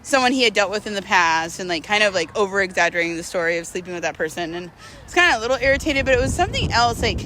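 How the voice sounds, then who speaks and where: Bright-voiced young woman chatting over background noise. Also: mid-range voice, lively intonation, very fast, low-quality recording. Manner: informal and slangy, with frequent hedging asides. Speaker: vlogger telling a personal story. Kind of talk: casual chatty storytelling.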